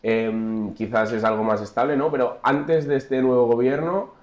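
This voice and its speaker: A man's voice: mid-range voice, steady tone, normal speed, dull audio. Male podcast host, asks follow-ups, roomy echoey recording. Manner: leads with follow-up questions, short agreeing interjections. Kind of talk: podcast interview. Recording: roomy, echoey room sound.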